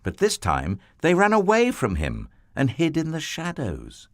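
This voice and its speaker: A man narrating a children's Bible story in a tender, expressive storybook manner. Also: on the high side, measured pace, no echo, studio quality.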